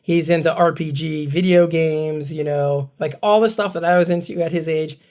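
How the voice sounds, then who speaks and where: A man co-hosting a podcast, his voice scratchy from a lingering cold, chatting in a relaxed, rambling way and reading a guest introduction. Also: a little high, normal speed. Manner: relaxed, rambling, chuckles often. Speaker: podcast co-host. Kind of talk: casual podcast chat.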